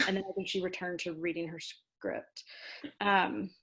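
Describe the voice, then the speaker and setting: A woman's voice: fairly low pitch, normal speed, low-quality recording. American woman telling stories casually. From Louisiana, United States. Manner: animated, self-deprecating, acts out quoted exchanges. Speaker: interview guest, theater dramaturg and producer. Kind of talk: casual conversational storytelling.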